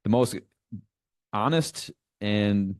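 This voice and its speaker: Deep-voiced man coaching bluntly. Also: very slow, clear, resonant tone, dry recording, clean studio sound. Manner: blunt, emphatic, casually profane, often checking with "okay?". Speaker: host of a business coaching show. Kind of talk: informal instructional monologue.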